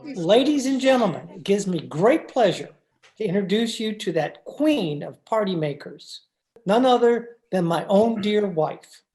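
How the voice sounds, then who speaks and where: A woman's voice: medium pitch, animated, unhurried, dark tone, slight background noise, close to an everyday voice. A woman playing an officious husband who formally announces his wife like a master of ceremonies in an old-time radio drama.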